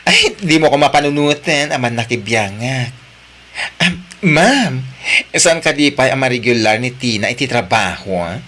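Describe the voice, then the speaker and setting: A man's voice: medium pitch, animated, moderate pace, fairly bright tone. A man with an Ilocano Filipino accent playing a chatty, nosy, supportive office friend in an audio drama. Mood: curious.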